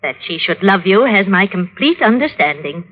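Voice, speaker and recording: Genteel wife, lilting pitch, old radio. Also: female voice, very animated, moderate pace. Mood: gracious, composed. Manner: gracious, composed, politely bossy. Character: genteel, serenely unbothered middle-aged wife. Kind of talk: old-time radio drama acting. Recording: dull vintage radio recording.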